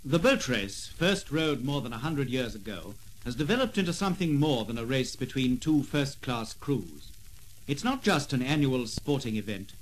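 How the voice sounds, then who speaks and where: A man giving formal, measured documentary narration in a 1950s style on an old gramophone record. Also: medium pitch, animated, slight background noise, low-quality recording. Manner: formal, measured announcer delivery. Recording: vintage 78rpm gramophone record.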